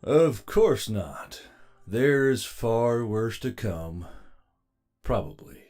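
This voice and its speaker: A woman's voice: a bass voice, lively intonation, drawn-out words, warm tone, voice pushed down, heavily acted. A woman voicing a giant male cyborg with a robotic voice, sarcastic and curt, in an audio story. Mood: mocking mirth. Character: giant male cyborg robot man, dry, mocking, metallic.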